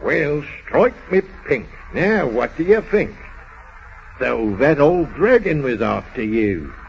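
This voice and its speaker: A quaint, fussy fellow fusses slowly over his spilled tea. His voice leaps up and down with every phrase. The sound is dull and lo-fi, like an old radio play. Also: medium pitch, very animated, vocal fry, heavily acted. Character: fussy old-fashioned little man disturbed at tea. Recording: dull old radio recording with faint hiss.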